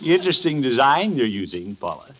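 A man's voice: medium pitch, very animated, brisk, lightly acted. Through a muffled, hissy 1950s radio recording comes a jovial, pompous middle-aged uncle , played by a male actor. He is a bashful, flirtatious suitor at heart, hamming up his lines in classic sitcom style.

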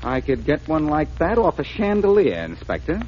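Animated American amateur detective, flippant, confident, wisecracking, 1940s radio drama, dull noisy recording. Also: male voice, a little high, moderate pace. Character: breezy, wisecracking male amateur sleuth, cool under accusation.

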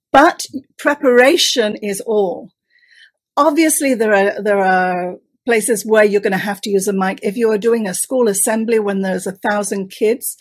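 A woman's voice: medium pitch, slightly rough. A woman storytelling expert giving confident, instructive advice in an interview.

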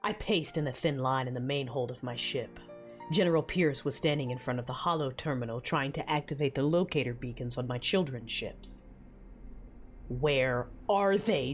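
A woman playing a grave, commanding and worried mother who leads a starship, narrating in an audio drama. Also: rumbling low. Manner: grave first-person narrating.

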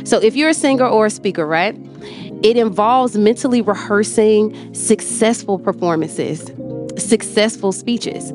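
A woman hosting a motivational livestream, talking affectionately to her viewers, repeating phrases and often checking in with "okay?". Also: mid-range voice. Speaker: host.

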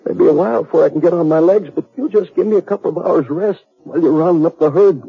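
An American actor playing a gravely injured, remorseful cowboy in a 1950s radio western, husky, weak and gasping. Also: male voice, on the high side, fast, no room sound, heavily acted. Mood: stubborn, fading. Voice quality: husky, creaky, strained. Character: gravely injured cowboy, jealous husband turned remorseful and forgiving. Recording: muffled 1950s radio recording, music.

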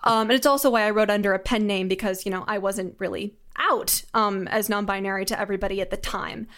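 A woman's voice: mid-range voice, steady tone, quick pace, fairly bright tone. A young adult woman answering interview questions as a podcast guest, conversational, articulate and enthusiastic. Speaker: audio drama creator, podcast guest.

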